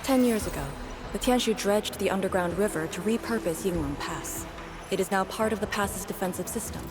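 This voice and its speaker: Game officer, played by a woman: composed, authoritative, mid-pitched, set over prominent music. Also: fairly quick, close to an everyday voice. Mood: composed, explaining. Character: composed, commanding woman officer of a frontier defence force. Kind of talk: scripted video game voice acting.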